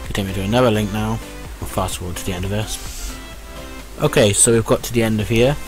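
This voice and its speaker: A man's voice: lowish voice, fairly quick. A man giving a casual, reassuring step-by-step tech tutorial in an explanatory way. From United Kingdom. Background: music.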